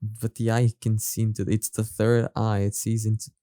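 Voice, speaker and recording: A man hosting a reaction video, commenting casually and thinking out loud in a halting, hesitant way. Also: lowish voice, monotone, no echo, studio quality.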